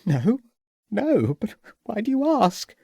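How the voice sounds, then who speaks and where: A man voicing a distressed, polite widow hostess in a period mystery audiobook, raising his voice high. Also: very animated, takes it slowly, vocal fry, dark tone, slightly rough, no echo, studio quality, heavily acted. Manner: shaken, polite. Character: distressed genteel widow, middle-aged hostess.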